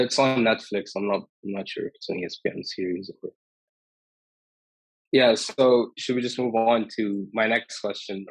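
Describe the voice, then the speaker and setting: A man's voice: on the low side, no room sound, low-quality recording. A man interviewing someone casually, with informal, hesitant phrasing.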